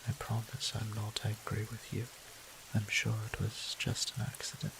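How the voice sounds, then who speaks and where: A man playing a gentle, doting vampire priest and healer in a roleplay audio drama, speaking softly in formal, old-fashioned, courteous phrasing. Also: on the low side, completely flat delivery, moderate pace, vocal fry, background noise. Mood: worried, reassuring. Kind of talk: scripted roleplay audio drama, addressing the listener.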